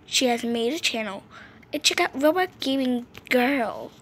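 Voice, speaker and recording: A woman's voice: high voice, lively intonation, a little slow, a bit bright, husky. A young woman improvising role-play narration over a video game in a playful sing-song manner, recorded on a phone microphone. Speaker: host of a gaming channel. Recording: noisy amateur phone screen recording.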